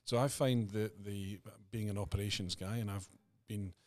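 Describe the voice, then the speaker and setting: Scottish podcast guest, middle-aged man, low voice, easygoing and chatty. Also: steady tone, moderate pace, a little mellow. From Scotland. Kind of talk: casual interview chat.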